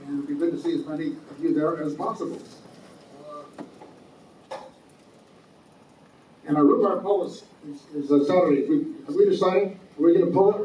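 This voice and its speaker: A man's voice: on the high side, dark tone, slight background noise. Man rambling through announcements in an echoey hall. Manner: halting, many fillers and restarts. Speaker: society president. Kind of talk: informal meeting announcements. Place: meeting hall. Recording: echoey, distant, muffled room recording.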